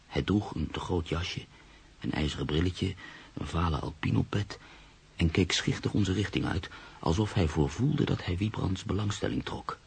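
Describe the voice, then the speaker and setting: Pensive brooding man, radio drama, very low pitch, gravel in the throat, sceptical, literary phrasing. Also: exaggerated voice. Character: brooding, sceptical man narrating his own uneasy story. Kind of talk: radio drama narration and dialogue.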